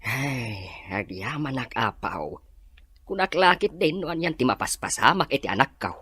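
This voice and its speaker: Casting: man to play an old, troubled Ilocano village dad, raspy and animated, questioning in manner. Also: mid-range voice, moderate pace, dry recording, studio quality, heavily acted. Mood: worried. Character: worried old village father. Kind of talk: Filipino Ilocano audio drama acting.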